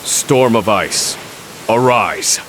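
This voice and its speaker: An actor playing a grim, brilliant scholar in a fantasy video game, speaking in a measured, formal and erudite way. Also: male voice, fairly low pitch, noisy background. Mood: intent, assured. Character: grim, brilliant adult male scholar escaping prison. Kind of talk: dramatic video game voice acting.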